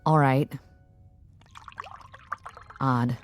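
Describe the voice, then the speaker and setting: An actress playing a thoughtful office colleague, informal and musing, in a radio drama. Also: female voice, a bass voice, steady tone, extremely slow, soft background music, full character voice. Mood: casual, musing. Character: casual, thoughtful female office colleague of a codebreaker.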